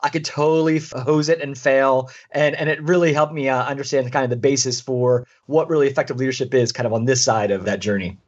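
A man's voice: mid-range voice, brisk, hardly any pauses, highs cut off. A man, a guest on an interview show, telling a story in an enthusiastic, conversational way and catching himself mid-sentence. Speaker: guest, leadership consultant.

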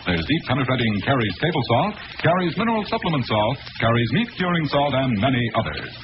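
A man's voice: medium pitch, sing-song, fast talker. A man announcing a sponsor's message in emphatic, salesman-like 1940s radio style. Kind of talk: 1940s radio advertisement reading. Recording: muffled, noisy old radio recording.

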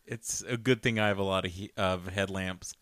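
Low, smooth-voiced male podcast co-host. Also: fairly even intonation, normal speed, fairly bright tone, no room sound, studio quality. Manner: laid-back, agreeable, brief replies. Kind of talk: casual chat.

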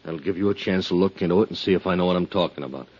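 A dull old radio recording carries a shifty informer. The voice is very deep and husky, the manner curt, guarded and stubborn, pure 1950s crime drama. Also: male voice, racing, a little mellow. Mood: insistent. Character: shifty, stubborn male stool pigeon bargaining for money.

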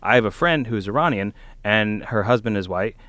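A man's voice: lowish voice, lively intonation, brisk, fairly bright tone, no room sound. A man co-hosting a casual podcast discussion, explaining his points as he thinks aloud. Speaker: podcast co-host.

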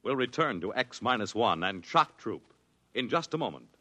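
A man's voice: mid-range voice, sing-song, moderate pace, bright, forceful tone, a little hiss or hum. A man announcing a radio show in 1950s style.

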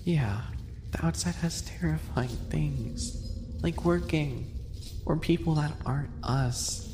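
A man playing a sly, sadistic animal-boy maid in a roleplay ASMR audio, hushed, teasing and condescending. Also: fairly high pitch, slow, over music. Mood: possessive, sinister calm. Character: sly, smug, sadistic male animal-person maid yandere. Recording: long reverb, clearly noisy ambience.

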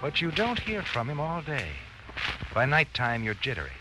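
A man's voice: a little high, very animated, moderate pace, rough recording. An actor playing a sinister, knowing narrator who needles a guilty man with insinuating questions, in 1940s radio suspense style. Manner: insinuating, taunting rhetorical questions. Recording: old radio recording with music behind. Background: dramatic music.

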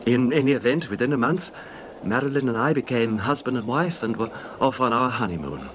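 On a noisy vintage radio drama recording, an actor plays a middle-aged gentleman recluse. He is genteel, hesitant and wistful, with very expressive, mobile pitch at a medium male register. His formal, careful wording carries a nervous devotion. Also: a little fast, natural voice. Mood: wistful, reminiscing. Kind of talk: old time radio drama acting.

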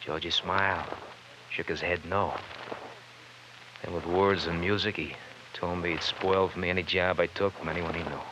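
A man's voice: sits low, steady tone, moderate pace, pauses often, close to an everyday voice. An actor playing a bitter young wise guy in an old radio crime drama, speaking in sardonic, slangy, stylized patter. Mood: bitter, mocking. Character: bitter, sardonic young bowling-alley man, failed lawyer. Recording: muffled, noisy old radio recording.

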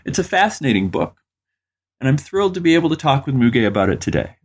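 A man's voice: medium pitch, very animated, fast talker, husky, highs cut off. A man hosting an interview podcast, introducing and questioning a guest.

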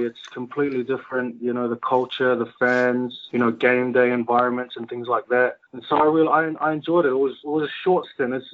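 A young man from New Zealand talks easily about his sports career, loose and full of fillers. The sound is thin and phone-like, as if coming down a call line. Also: mid-range voice. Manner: relaxed, loose with fillers and restarts. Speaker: rugby player guest. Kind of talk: casual interview chat.

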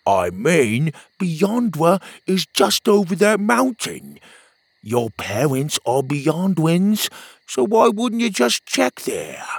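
A man's voice: fairly high pitch, wildly swooping pitch, slow pace, gravelly, husky, no echo, voice pushed up, full character voice. A man doing a cheery lad who lisps his r's. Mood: teasing. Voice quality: turns r into w. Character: cheerful young male friend with an r-to-w lisp. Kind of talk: storybook character voice.

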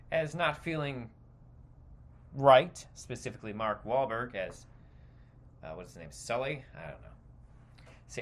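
A man's voice: mid-range voice, fairly bright tone. A man hosting a podcast, delivering a casual, opinionated rant in a rambling, digressive and emphatic way. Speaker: podcast host.

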